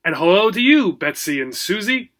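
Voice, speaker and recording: A man's voice: high-pitched, big pitch swings, normal speed, fairly bright tone. A man voicing a polite, eager, cheerful little female gerbil in a children's storybook, chirpy and friendly.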